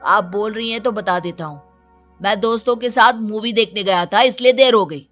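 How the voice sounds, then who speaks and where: A woman's voice: medium pitch, moderate pace, fairly bright tone, no room sound, faint music behind, natural voice. A woman voicing a lazy, spoiled teenage son with a whining, flippant manner, in a cartoon story. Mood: demanding, flippant. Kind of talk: Hindi cartoon moral-story acting.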